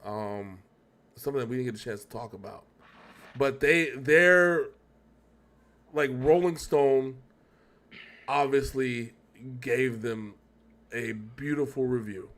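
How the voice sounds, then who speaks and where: A man's voice: medium pitch, steady tone, slow pace, pauses often, fairly bright tone. A man hosting a casual music reaction chat, rambling and often correcting himself as he thinks aloud. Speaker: reaction show host.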